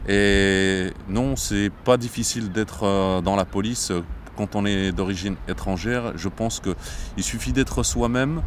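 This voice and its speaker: A man in his thirties from Morocco, a border police captain, speaking in a measured interview with frequent hesitations. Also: on the low side, slight background noise. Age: 30s. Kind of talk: interview monologue. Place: outdoors near traffic.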